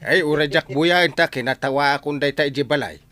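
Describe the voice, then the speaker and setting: Guy doing a bossy village captain in a comedy skit, highish voice, always scolding, really forceful. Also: a little fast. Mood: exasperated. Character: bossy, exasperated male village captain banning laughter. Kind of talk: comedy skit acting in a Philippine language.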